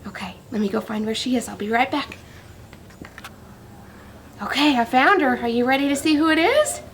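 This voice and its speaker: A woman's voice: sits high, lively intonation, normal speed, slight background noise. A woman speaking to young children in simple, teacherly language with questions, as in a storybook read-aloud or farm show-and-tell.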